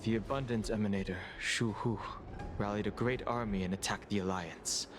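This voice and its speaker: A man's voice: fairly low pitch, fairly even intonation, moderate pace, noisy background, close to an everyday voice. An actor playing a reserved, stoic young man in a video game, terse and matter-of-fact. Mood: reserved, factual. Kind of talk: English-dubbed video game acting.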